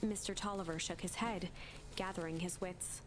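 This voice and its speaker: A woman's voice: lowish voice, fairly even intonation, moderate pace. Woman narrating dramatically over music. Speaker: let's play host, narrator. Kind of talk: dramatic reading of game narration.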